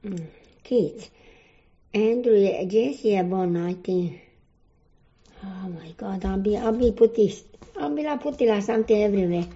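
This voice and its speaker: An older woman from Croatia speaks slowly in broken English with a Croatian accent, and she often stops to search for a word. Her voice is mellow and low in brightness. The recording is dull and lo-fi. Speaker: interviewee. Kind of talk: oral history interview.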